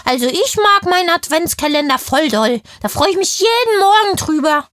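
A woman's voice: very high-pitched, expressive, normal speed, fairly bright tone, dry recording. A woman playing a curious, chatty kindergarten girl in a children's audio play, with emphatic, cheeky childlike talk. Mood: excited.

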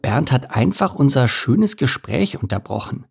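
Man playing a mild, fussy hedgehog, slightly raspy. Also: medium pitch, big pitch swings, measured pace, pitched above the performer's own voice, exaggerated voice. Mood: miffed. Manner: mild, deliberating. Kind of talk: children's storybook narration.

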